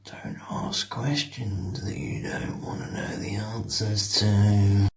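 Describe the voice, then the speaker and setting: A man voicing a secretive, sinister character who speaks in a hushed near-whisper, recorded with a roomy echo. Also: on the low side, level tone, slow, faint music behind, voice pushed down. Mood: secretive, warning.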